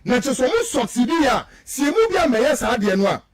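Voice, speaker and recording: A man's voice: very high-pitched, big pitch swings, quick pace, no room sound. A Ghanaian man giving emphatic, repetitive political commentary as he switches between two languages, full of rhetorical turns.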